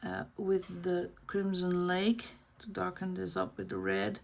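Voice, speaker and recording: A middle-aged woman from the Netherlands with a Dutch accent, chatting casually in English as the host of a colouring channel, pausing often to find her words. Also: on the low side, big pitch swings, creaky, slight background noise. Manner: unscripted, pauses to find words. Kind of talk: casual chat while colouring.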